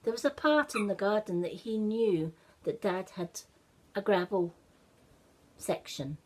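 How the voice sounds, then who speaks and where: A woman tells a children's story at a slow pace with lots of dramatic pauses. Her pitch moves up and down in a lively way, and her voice has a breathy, husky edge. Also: low-quality recording. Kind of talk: expressive children's story narration.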